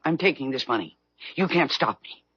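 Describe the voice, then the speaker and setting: A desperate middle-aged woman with a guilty secret, her husky voice swinging widely in pitch. She is defensive and halting, in heightened 1970s radio drama style, on a dull, dry vintage recording. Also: animated, dry recording, heavily acted. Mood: hard, defiant.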